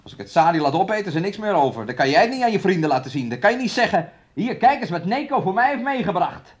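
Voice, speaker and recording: An actor playing a domineering, resentful young fiancé in a radio play, with a taunting, needling way of talking. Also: male voice, high voice, sing-song, fast talker. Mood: irritated. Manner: speaks of himself and her in third person, taunting.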